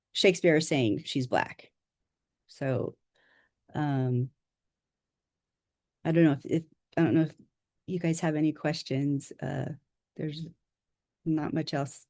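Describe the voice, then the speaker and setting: A woman from Southern California, an author introducing herself on a video call, speaking modestly and haltingly with fillers. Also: sits low, no room sound, studio quality. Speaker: author presenting a workshop.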